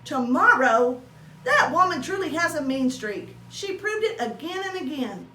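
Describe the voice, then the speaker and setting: A woman voicing an excitable, self-pleased young male hamster in a storybook, exclamatory and dramatic. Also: high-pitched, a little slow, recorded from a distance, pitched above the performer's own voice, heavily acted. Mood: indignant. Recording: roomy echo with faint noise.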